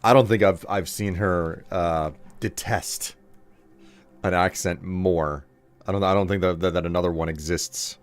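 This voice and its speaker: A married man streaming a game, rambling casually and self-deprecatingly with halting restarts. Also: low-pitched, steady tone, soft background music. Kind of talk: casual gameplay commentary.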